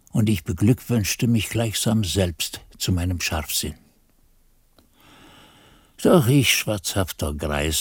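An old monk looks back on his youth in a radio play. His voice is low and sits in a dry, close space. The storytelling is reflective, literary and unhurried in its phrasing. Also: darkish tone, no room sound. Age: older. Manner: measured, ornate storytelling.

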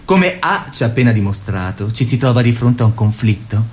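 A man's voice: medium pitch, lively intonation, brisk, a little mellow, slight background noise, lightly acted. Man as doctrinaire, declamatory party ideologue. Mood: didactic, declamatory. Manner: declamatory, didactic. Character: doctrinaire male party ideologue, measured and calculating. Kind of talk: Italian radio theatre, political oratory.

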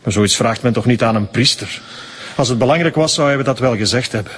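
A prim, devout church sexton in a Flemish radio play, acted by a man. His voice is husky and sits at an ordinary male pitch. He speaks formally, curt and evasive. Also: a little fast, lightly acted. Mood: guarded. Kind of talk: radio drama acting.

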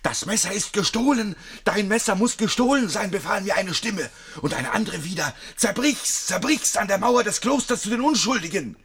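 A man's voice: high voice, expressive, normal speed, hardly any pauses, a bit bright, husky, full character voice. A man playing a servile, tormented zealot who hears voices, in a German radio play. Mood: feverish, visionary. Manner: servile yet feverishly visionary. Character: fanatical, tormented zealot, servile would-be assassin hearing voices.

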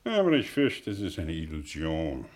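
An actor playing a melancholy, sardonic exiled professor in a German radio drama, musing and declaiming with long pauses. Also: male voice, rumbling low, huge pitch swings, a little mellow, exaggerated voice. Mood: dreamy musing.

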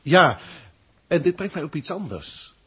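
An actor in a 1960s science-fiction radio drama playing a formal, grandiloquent alien proctor who speaks deliberately and pompously. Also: male voice, on the high side, sing-song, fairly bright tone, breathy edge, full character voice. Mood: lofty, instructive. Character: authoritative, grandiloquent alien proctor from a galactic federation.

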